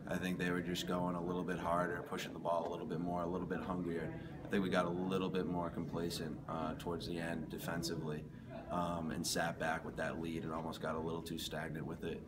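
A young team captain answers postgame questions in a hallway, steady and measured with fillers. His voice is very low and almost monotone. Recorded from a little way off, with loud background noise behind him. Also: far from the mic. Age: young adult. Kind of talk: postgame interview. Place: indoor hallway.

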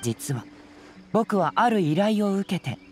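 A man voicing a calm, perceptive young gentleman investigator in a game, soft-spoken and politely probing. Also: sits high, measured pace. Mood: calm, explanatory. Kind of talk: Japanese game cutscene voice acting.

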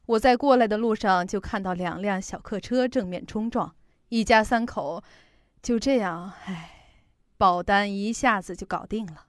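A woman's voice: medium pitch, normal speed, dry recording, lightly acted. An actress in an audio drama playing a chatty, fretful middle-aged mother who sells insurance. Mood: chatty, matter-of-fact. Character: fussy, protective middle-aged working mother, insurance saleswoman.

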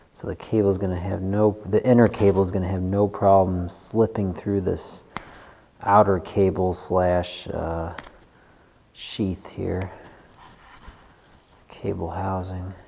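Male tutorial host, mellow and muted in tone, informal and hands-on, recorded in an echoey workshop with some background noise. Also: low-pitched, creaky. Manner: informal, thinking aloud while working. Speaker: bike mechanic host. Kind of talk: casual hands-on tutorial narration. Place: indoors in a large wooden workshop garage. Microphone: action camera worn on the body. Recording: echoey wooden garage with noticeable noise.

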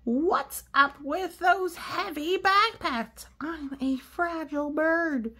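A woman voicing an anxious, dramatic, excitable cartoon pigeon from a picture book, with drawn-out exclaiming questions. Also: extremely high pitch, pitched above the performer's own voice, heavily acted. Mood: worried, complaining. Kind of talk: children's picture book read-aloud.

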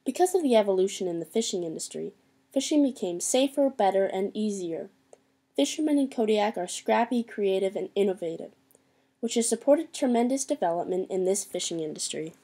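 Young narrator reading a script. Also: female voice, medium pitch, animated, brisk, mellow, breathy edge. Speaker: student narrator.

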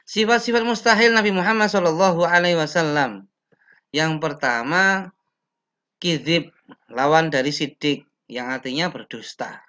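A young teacher from Indonesia reads a lesson aloud from slides over a webcam. His voice is bright and a little high for a man. He speaks slowly and deliberately, with pauses and the odd filler. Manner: slow, measured reading with pauses and fillers. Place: indoor room.

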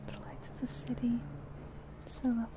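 Casting: woman as easygoing female night cabbie for ASMR roleplay, breathy whisper, lightly high-pitched, musing to herself. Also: completely flat delivery, a little fast, close to an everyday voice. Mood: calm, musing. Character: easygoing female night-shift taxi driver musing alone.

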